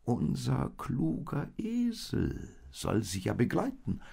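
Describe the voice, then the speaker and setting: The wise oldest sheep played by a man speaks slowly and calmly, reassuring the younger animals. The voice sits well above his own, with a husky, gravelly grain. It sounds like a storybook read aloud. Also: mid-range voice, voice pushed up, heavily acted. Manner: slow and reassuring. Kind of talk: storybook reading.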